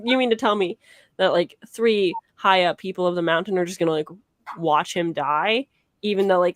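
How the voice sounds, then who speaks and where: A woman's voice: medium pitch, dry recording, clean studio sound. A young adult woman chatting casually about books, informally peppering her talk with "like", on a video call. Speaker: book club guest. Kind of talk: casual animated book discussion. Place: small home room with bookshelves, video call. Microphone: close desk microphone.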